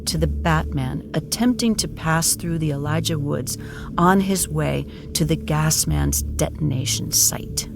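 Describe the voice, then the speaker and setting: A woman narrating an audio drama in a solemn, measured storytelling manner. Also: sits low, gravelly, music in the background.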